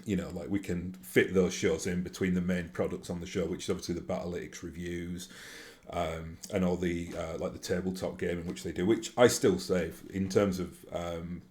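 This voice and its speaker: A man's voice: sits low, level tone, moderate pace, a little mellow. A man with a broad Yorkshire accent, talking casually to his viewers in a rambling way with many fillers and restarts. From Yorkshire, England. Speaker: YouTube host. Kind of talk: casual solo monologue.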